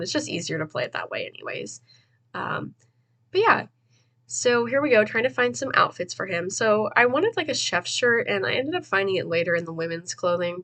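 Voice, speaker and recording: A woman's voice: fairly low pitch, fairly bright tone. Stuffy-nosed chatty young woman. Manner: chatty and rambling, with fillers and laughs. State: stuffy, a bit nasal. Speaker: gaming video host. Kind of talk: casual voice-over gameplay commentary.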